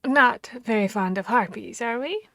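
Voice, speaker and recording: A woman voicing a harpy seeking a human mate, commanding yet courteous and bashful, speaking formally and deliberately with hesitant pauses in an ASMR roleplay. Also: on the high side, gravelly, bright, forceful tone, dry recording, studio quality. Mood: curious, polite.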